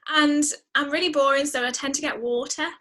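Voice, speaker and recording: Female podcast guest, bright and fairly high voice, chatty, expressive delivery. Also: a little fast. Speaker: musician. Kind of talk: casual, chatty interview answers.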